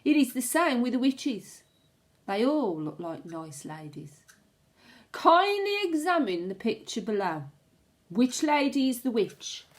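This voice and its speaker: A woman teacher reading a storybook aloud to children in a dramatic, suspenseful way, with asides to her pupils. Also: a little high. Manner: expressive, suspenseful, deliberate storytelling.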